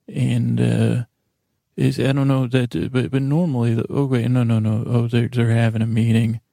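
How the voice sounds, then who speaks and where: A man in his forties hosting a bedtime podcast, rambling haltingly and interrupting himself in a self-deprecating monologue. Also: medium pitch, little pitch movement, vocal fry, mellow, no echo, clean studio sound. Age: 40s. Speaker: podcast host.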